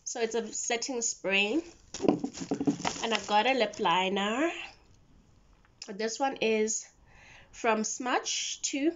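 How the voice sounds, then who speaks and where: Vlogger, female, twenties, South African accent. Slightly high pitch, informal chatty delivery, muffled phone recording. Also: slow, pauses often, heavy background noise. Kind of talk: casual chat to camera. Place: mostly a bedroom at home. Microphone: phone camera at arm's length. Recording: dull lo-fi phone audio.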